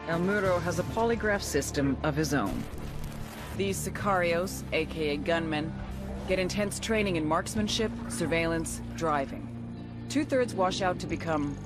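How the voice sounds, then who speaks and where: An American woman playing a hard-edged, cynical female intelligence agent in a video game, dry and sardonic. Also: on the low side. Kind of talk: scripted game cutscene narration and dialogue.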